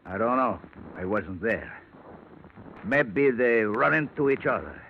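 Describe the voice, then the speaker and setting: An actor playing a surly, defensive fishing fleet captain in old radio drama style, blunt and terse, with broken grammar. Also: male voice, mid-range voice, animated, slow pace. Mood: sullen. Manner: blunt, terse, non-native sounding grammar. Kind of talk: 1940s-50s radio drama acting. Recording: muffled vintage radio recording, faint noise.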